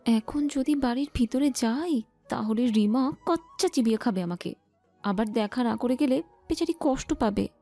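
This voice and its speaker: High squeaky cheeky girl bestie, woman acting it, whiny and dramatic, audio story with music behind. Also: moderate pace, dark tone. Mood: worried. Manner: playful, exaggerated complaining.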